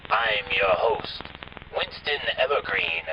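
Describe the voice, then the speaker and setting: A man playing an ominous host of an old-time radio horror show, narrating in a theatrical, drawn-out way. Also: lowish voice, lively intonation, slight background noise. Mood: ominous welcome. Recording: dull old-radio sound, loud music behind.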